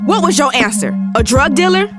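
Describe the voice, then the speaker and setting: An actress plays a young American woman fed up with her lover, in a high voice over loud hip hop. She is indignant and proud, with a sarcastic, cutting delivery suited to heated audio drama. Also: expressive, a little fast, loud music behind the voice.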